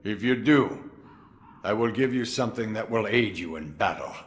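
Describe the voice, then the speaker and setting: An actor playing a gruff old swordsman in a game cutscene, sly and relishing, with sudden loud outbursts. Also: on the low side, animated, normal speed, very noisy, close to an everyday voice. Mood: gruff theatrical. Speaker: mentor recruiting the hero. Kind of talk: video game cutscene acting.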